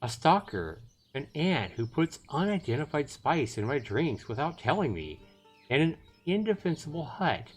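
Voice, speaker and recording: Young fantasy heroine played by a man, nervy and indignant, lifted pitch, very animated delivery for a visual novel. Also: moderate pace, husky, soft background music. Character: frightened, indignant young woman narrating her fantasy tale. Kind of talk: dramatized visual novel reading.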